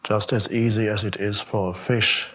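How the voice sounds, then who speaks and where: A man giving a meditation talk as a spiritual teacher, speaking contemplatively with long pauses and gentle questions. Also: lowish voice, fairly even intonation, slight background noise.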